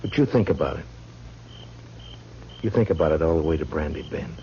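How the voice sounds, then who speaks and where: An actor playing a calm, watchful frontier marshal with a measured, terse, wry manner in 1950s radio western style. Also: male voice, a bass voice, creaky, exaggerated voice. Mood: grave. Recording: muffled, noisy old-time radio recording.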